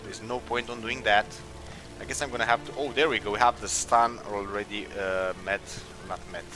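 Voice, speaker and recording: A man's voice: on the low side, fairly even intonation, normal speed, poor audio. A man giving casual let's play commentary, hesitant and thinking aloud as he goes, with music behind him. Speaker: gaming video host. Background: game music.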